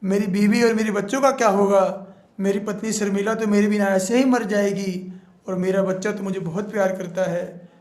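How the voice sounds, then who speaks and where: A man's voice: darkish tone, lightly acted. A man doing a panicked pleading man. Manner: panicked, fast, high-pitched begging. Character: frightened man pleading for his family. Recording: echoey room.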